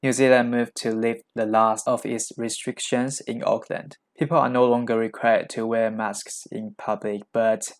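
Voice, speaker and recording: A man's voice: mid-range voice, flat delivery, normal speed, few pauses. A man teaching English vocabulary, explaining each word in Chinese and reading the English example sentences aloud.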